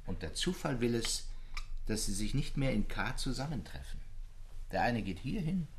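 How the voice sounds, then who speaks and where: An actor playing a brooding, fervent literary storyteller in a radio drama, speaking deliberately in old-fashioned literary phrasing. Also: male voice, medium pitch, sing-song, husky. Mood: animated storytelling.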